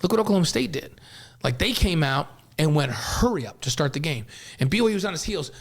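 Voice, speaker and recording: A male sports host with a fairly high voice talks through a football game. He explains plays casually and rambles a little, like someone who has done this for years. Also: big pitch swings, fast.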